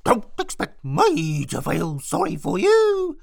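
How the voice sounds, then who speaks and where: A woman's voice: lowish voice, huge pitch swings, slow pace, vocal fry, darkish tone, exaggerated voice. A woman voicing a bitter, snarling male jackal in a storybook. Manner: snarling, resentful.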